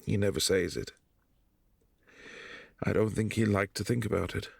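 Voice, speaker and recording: A man playing a brooding, possessive vampire prince in a boyfriend roleplay, speaking low and hushed and sometimes dropping to a whisper. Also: moderate pace, vocal fry, husky, dry recording, exaggerated voice. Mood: wistful.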